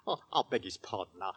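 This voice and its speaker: An actor playing an inquisitive, folksy middle-aged London working man in a 1940s radio drama, talking with halting, stammering eagerness. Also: deep voice, a bit bright, slightly rough, heavily acted. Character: excitable, inquisitive working-class London father, folksy and henpecked. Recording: vintage 1940s radio recording.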